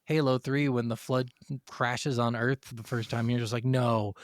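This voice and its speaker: A man's voice: mid-range voice, flat delivery, normal speed, no room sound, studio quality. A man co-hosting a podcast, chatting casually about video games. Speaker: podcast co-host.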